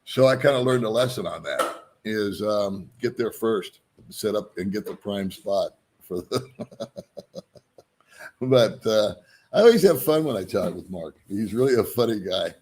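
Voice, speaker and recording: A middle-aged man hosts a relaxed live stream and talks to his viewers in a somewhat low voice. He pokes fun at himself and greets people by name as they join. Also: measured pace. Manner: joking, self-deprecating, greets viewers by name. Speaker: vlogger hosting a live stream.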